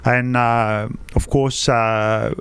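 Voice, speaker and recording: A man in his fifties, born in Egypt with Greek as his first language, answering interview questions in halting English full of "uh" fillers. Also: mid-range voice, little pitch movement, a bit bright, smooth. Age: 50s. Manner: halting, frequent "uh" fillers, non-native grammar. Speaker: guest, wealth adviser. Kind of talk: podcast interview.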